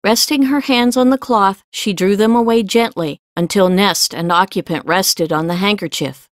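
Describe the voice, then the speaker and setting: A woman reading scripted fiction as an audiobook narrator, with measured storytelling delivery. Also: mid-range voice, no room sound, studio quality.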